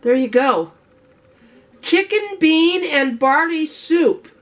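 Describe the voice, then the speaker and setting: A middle-aged woman chatting through a home canning tutorial in a homey way, her voice worn and clearing her throat as she is losing it. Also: sits high, lively intonation, slow pace, a bit bright. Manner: homey, chatty instructions to viewers. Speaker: home cook host.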